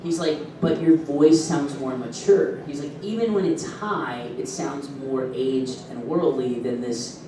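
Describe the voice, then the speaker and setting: A man chatting at a convention panel and telling animated stories between bursts of laughter. He sounds far from the mic in a very echoey room, with steady hum behind him. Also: mid-range voice, unhurried, hardly any pauses. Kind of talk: casual panel talk.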